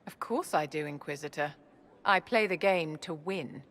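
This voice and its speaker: An actress playing a scheming aristocratic enchantress in a game, imperious and silkily condescending. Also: female voice, low-pitched, moderate pace, a bit bright. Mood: smug. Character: haughty, scheming aristocratic enchantress courtier, poised. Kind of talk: dramatic game acting.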